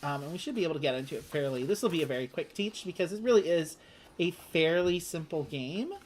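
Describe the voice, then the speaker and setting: Chatty board game host, mid-pitched voice. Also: quick pace, a little hiss or hum.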